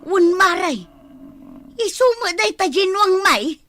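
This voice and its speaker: An actress playing an excitable, fretful village mother in an Ilocano comedy radio drama, with an Ilocano accent. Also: very high-pitched, slow, full character voice. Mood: excited. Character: excitable village mother fretting over her son.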